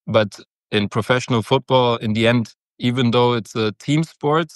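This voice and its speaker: A man's voice: medium pitch, normal speed, no room sound, studio quality. A young man from Germany, a football captain, talking casually in an interview with many hesitations. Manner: hesitant, many fillers and restarts.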